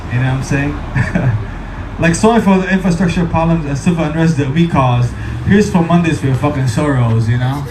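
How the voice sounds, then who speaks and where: A tipsy man from Syria with a Syrian accent, doing a deadpan stand-up comedy set and repeating small asides after each punchline. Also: on the high side. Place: small cafe comedy stage. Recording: echoey room with crowd noise. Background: audience laughter and room ambience.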